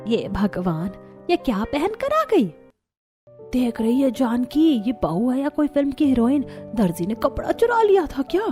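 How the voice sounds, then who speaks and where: A woman's voice: sits high, sing-song, normal speed, mellow. A woman puts on an older village gossip for a cartoon tale. She is catty and full of scandalised disapproval, over faint music. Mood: mocking, scandalised. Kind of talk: Hindi cartoon story dialogue.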